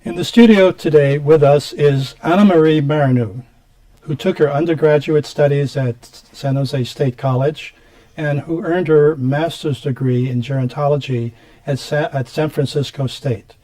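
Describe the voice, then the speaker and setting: A man's voice: on the high side, normal speed, warm tone, low-quality recording. A man hosting a community TV interview, asking rambling questions and backing the guest with frequent mm-hmms. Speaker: TV show host. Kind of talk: studio interview. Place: TV studio.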